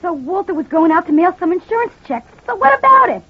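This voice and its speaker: An actress playing a scheming, murderous wife in an old radio melodrama, defensive and protesting. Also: female voice, very high voice, very animated, quick pace. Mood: defiant, defensive. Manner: protesting, flustered. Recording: dull, muffled old radio recording.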